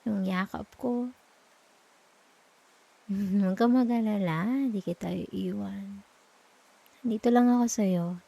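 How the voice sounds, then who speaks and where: A woman with a Filipino accent playing a sweet, teasing, comforting girlfriend in a soft, intimate ASMR roleplay. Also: mid-range voice, slow pace, creaky, pauses often. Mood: tender, reassuring. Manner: soft, tender, playful, soothing.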